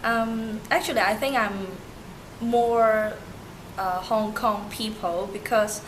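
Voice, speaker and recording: Slow, halting young woman from Turkey. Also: medium pitch, steady tone. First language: Turkish. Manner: halting, many fillers and restarts. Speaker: interviewee. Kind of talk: hesitant non-native English interview answers. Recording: noisy amateur recording.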